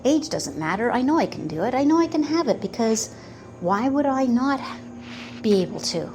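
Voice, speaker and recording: A woman in her fifties giving a slow, earnest motivational talk about manifesting, pausing often between phrases. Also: fairly high pitch, animated, darkish tone, slight background noise. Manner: slow, pausing, earnest, quotes doubters in put-on voices. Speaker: manifestation coach.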